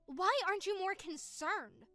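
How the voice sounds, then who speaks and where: A woman's voice: high-pitched, a little fast, a bit bright, clear, resonant tone, no room sound, clean studio sound, faint music behind. A woman playing an indignant young sister. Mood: accusing. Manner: accusing, indignant. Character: young woman, angry sister scolding brother.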